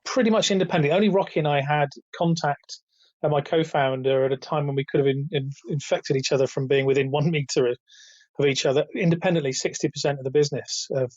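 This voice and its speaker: Raspy-voiced man, higher than usual. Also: steady tone, fast, a little mellow, no echo. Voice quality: raspy, slightly high after illness. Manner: hesitant, many restarts, chuckles between points. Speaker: guest, retail author and company founder. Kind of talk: casual interview over a call. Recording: dull, dry remote call audio.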